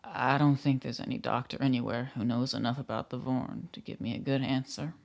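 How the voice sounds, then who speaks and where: A man's voice: medium pitch, fairly even intonation, brisk, vocal fry, close to an everyday voice. An actor playing a nervous young militia man in a radio drama, hesitant, earnest and deferential. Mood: subdued, earnest.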